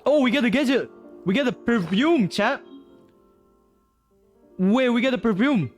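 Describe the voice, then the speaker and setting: Animated male game streamer. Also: extremely high pitch, a little slow. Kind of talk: casual livestream commentary. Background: game music.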